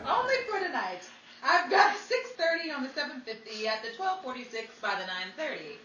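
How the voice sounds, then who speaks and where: A woman's voice: high-pitched, big pitch swings, fairly quick. A woman acting as a wisecracking 1940s radio announcer and servicewoman, with a showy, theatrical delivery. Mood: wry. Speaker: announcer and actress. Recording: echoey room, distant mic, crowd noise.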